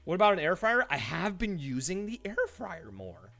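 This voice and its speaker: Guy streamer w a slightly high voice just chatting away, animated storyteller, faint music behind. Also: moderate pace, gravelly. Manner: animated storytelling, mimics his own reactions; laughs easily while talking. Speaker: live streamer. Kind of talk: casual chat with viewers on a game stream. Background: background music.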